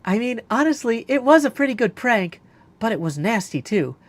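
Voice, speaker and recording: A man's voice: extremely high pitch, big pitch swings, moderate pace, dry recording, full character voice. A man voicing a laid-back, cheeky young female ghost in a video game, with a casual, flippant delivery. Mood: nonchalant. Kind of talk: video game character acting.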